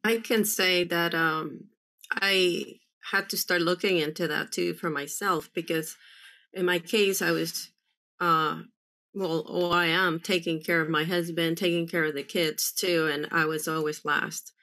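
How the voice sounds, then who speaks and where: Earnest woman from El Salvador, bright voice. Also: on the low side, steady tone. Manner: earnest, halting, many restarts. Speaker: panel guest, military spouse. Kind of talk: candid panel talk. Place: room at home, indoors. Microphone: video call.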